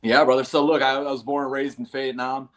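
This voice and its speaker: A man's voice: medium pitch, level tone, fairly quick, a bit bright. A man in his thirties from North Carolina, a combat veteran talking casually and earnestly as an interview guest. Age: 30s. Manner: earnest, folksy, calls listeners man and brother.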